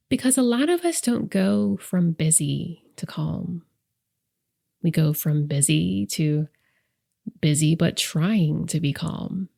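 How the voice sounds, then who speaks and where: A woman leading a guided meditation as host, speaking softly and gently with deliberate pauses, her breathy voice trailing into whispers. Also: lowish voice. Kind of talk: soft, soothing guided meditation narration.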